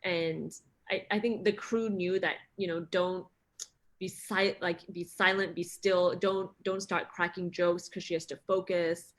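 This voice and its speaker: A woman's voice: fairly low pitch, steady tone, a little slow. A woman actress being interviewed, talking casually and self-deprecatingly, with lots of fillers and restarts. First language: not Thai. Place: in Thailand.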